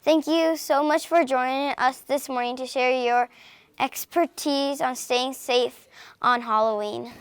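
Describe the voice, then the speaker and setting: A woman's voice: high-pitched, steady tone, a little slow, clean tone, no echo. A young woman reporter interviewing a guest politely, with a few hesitations. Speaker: student reporter.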